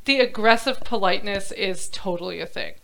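A woman co-hosting a casual podcast, chatty and quick to laugh, often trailing off mid-sentence, recorded at home on lo-fi gear. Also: medium pitch, vocal fry, fairly bright tone. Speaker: podcast co-host. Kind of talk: casual chat.

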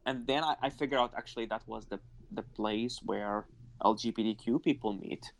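A man tells his life story as an interview guest. His voice sits fairly low, and he speaks in an earnest, reflective way. He laughs easily as he talks. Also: moderate pace, gravelly. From Iraq. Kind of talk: personal life story in conversation.

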